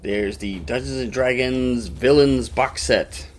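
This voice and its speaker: A man's voice: mid-range voice, slow. A man co-hosting an unboxing show, explaining things in a conversational, informative way. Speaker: co-host of a toy unboxing show. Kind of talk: casual explaining.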